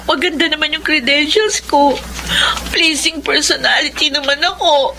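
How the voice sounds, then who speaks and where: A bright young graduate with a high, light voice, chasing her dream job in a radio drama. She speaks politely and earnestly. Steady noise sits under the recording. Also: normal speed, vocal fry, exaggerated voice, low-quality recording. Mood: tearful. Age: 20s. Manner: earnest and polite, breaking into tears. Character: young woman fresh graduate, hopeful aspiring radio DJ. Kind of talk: Filipino radio drama acting.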